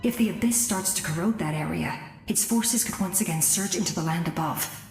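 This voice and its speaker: A woman's voice: on the low side, brisk, roomy sound, soft background music, natural voice. A woman voicing a selfless, dutiful warrior mentor in a video game, earnest and grave.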